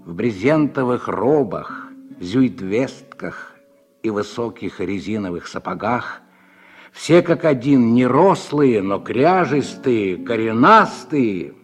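A man narrating a literary radio drama in a measured, expressive storytelling manner. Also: lowish voice. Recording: husky-sounding old radio recording with music.

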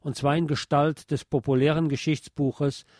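A man reading a scripted historical text aloud as narrator, in a measured, formal, literary manner. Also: fairly high pitch, level tone, darkish tone, dry recording, studio quality.

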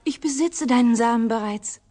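A woman acting in a German radio drama as an obsessive, coolly unsettling scientist, speaking in a soft, intimate, matter-of-fact way with a husky, breathy voice. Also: on the high side, moderate pace, natural voice.

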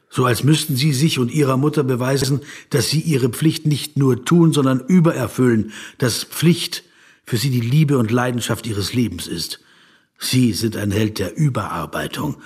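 An actor playing a reflective, sceptical yet compassionate questioner in a radio drama, speaking in a measured, insistent way and slipping into inner narration. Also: male voice, mid-range voice, husky, lightly acted. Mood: insistent, solemn. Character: reflective male interviewer of a famous man, probing, sceptical, compassionate.

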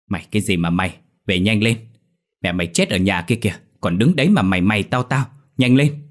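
A man's voice: on the low side, brisk, natural voice. A man voicing a frantic boy in a story who urges his friend on with insistent, repeated phrases. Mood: urgent, impatient. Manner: urgent, breathless repetition, hectoring. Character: frantic boy, a friend bringing terrible news, bossy.

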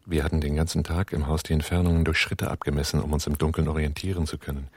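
A man's voice: extremely low pitch, little pitch movement, fast, breathy edge. An actor playing a commanding, terse, hard-boiled armed man on the run in a German radio drama, both narrating and giving orders. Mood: tense, matter-of-fact.

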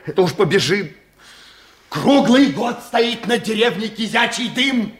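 A man's voice: high-pitched, animated, a little slow. An actor in a Soviet radio play, playing a nervous, thin village foreman who complains with agitated sarcasm. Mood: scornful.

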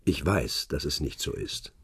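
A cryptic old spy. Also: male voice, rumbling low, animated, a bit bright, slightly rough, no room sound, heavily acted. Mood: calm. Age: older. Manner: cryptic, measured, speaks in hints. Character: old retired secret-service man, cryptic. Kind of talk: radio play acting.

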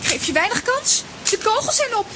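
A woman playing a plucky, bossy young girl in a children's audio drama, brisk and scolding. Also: very high voice, sing-song. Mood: agitated. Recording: noisy audio with rain behind.

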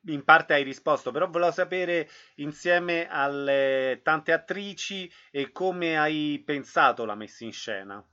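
Higher-pitched man hosting a radio interview. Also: measured pace, hardly any pauses, pushed and bright, lo-fi sound.

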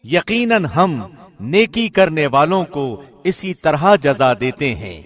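A man reading a scripture translation in a formal, measured, solemn radio-host delivery. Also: on the high side, sing-song.

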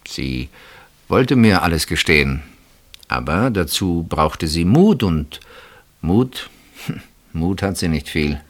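A low-voiced, coolly evasive husband. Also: male voice, sing-song, exaggerated voice. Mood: placating. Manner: controlled, deliberate, dismissive. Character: cool, evasive husband of a missing woman. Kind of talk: German radio play acting.

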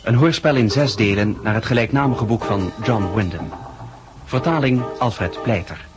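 A man's voice: lowish voice, moderate pace. A man with a Dutch accent from the Netherlands announcing the titles of a 1960s radio drama in a scripted manner. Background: music.

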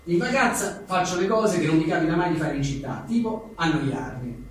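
A man's voice: on the high side, lively intonation, normal speed. A man, talking colloquially and irreverently as he presents his writing live. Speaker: author presenting his book. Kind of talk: reading short jokes and chatting informally at a live book presentation. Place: live event venue with an audience. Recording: echoey room, distant mic, faint noise.

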